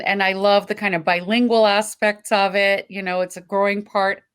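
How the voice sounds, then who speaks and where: A woman's voice: medium pitch, fairly bright tone. A woman moderating a panel, introducing speakers in a friendly, slightly hesitant way with frequent ums.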